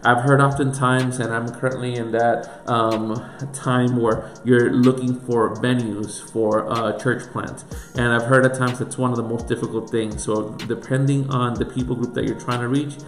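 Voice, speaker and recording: A man's voice: mid-range voice, level tone, moderate pace. A man with a Puerto Rican accent, a pastor giving an earnest teaching talk that runs through a series of questions. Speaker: pastor and church planter. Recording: echoey room with faint music.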